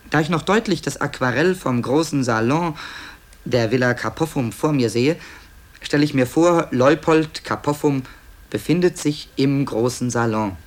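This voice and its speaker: Musing, wry male radio narrator. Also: mid-range voice. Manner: musing, hesitant, wry asides. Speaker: author-narrator presenting tapes to listeners. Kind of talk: conversational radio play narration.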